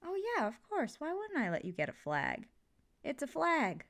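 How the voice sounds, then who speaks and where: A woman's voice: sits high, moderate pace, exaggerated voice. A woman playing a supportive, playful mother in an ASMR comfort roleplay, talking casually and reassuringly to her kid. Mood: warm, encouraging. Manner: casual, reassuring, jokey, gently expressive. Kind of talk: ASMR comfort roleplay, one side of a conversation.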